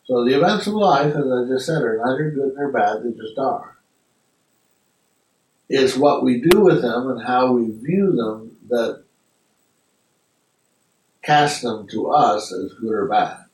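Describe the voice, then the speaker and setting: Man, class discussion leader, reflective and unhurried, mic set well back in a roomy hall. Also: mid-range voice. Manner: reflective, thinking aloud with restarts. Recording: echoey room, mic far off.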